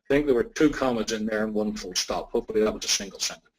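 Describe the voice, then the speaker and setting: A man with an Irish accent answering questions as a technology expert, speaking in a deliberate, measured way with emphatic repetition. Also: lowish voice, rough recording. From Ireland.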